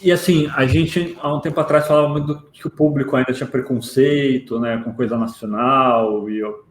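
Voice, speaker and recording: A man's voice: medium pitch, steady tone, fairly quick. A man with a Brazilian accent interviewing casually, feeling his way through questions with frequent check-in tags. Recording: echoey room with faint noise.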